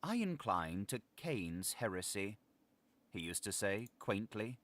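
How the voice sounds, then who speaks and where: A man narrating a literary audiobook in a measured, expressive storytelling manner. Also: fairly low pitch, no room sound. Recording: vehicle engine noise over playback.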